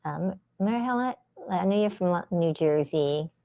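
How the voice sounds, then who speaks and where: A woman's voice: fairly low pitch, lively intonation, normal speed, vocal fry, mellow. A woman from the San Francisco Bay Area chatting casually with viewers on a livestream while she paints in her home art studio, meandering between painting and answering comments. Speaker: livestream host and spiritual artist. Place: home art studio under daylight lamps.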